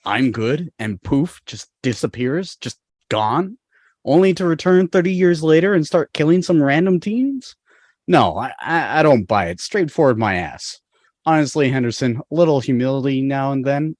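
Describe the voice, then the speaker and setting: A man's voice: medium pitch, darkish tone, no room sound, clean studio sound, natural voice. A man acting an exasperated, sarcastic teenage guy in a dramatic table read over a video call.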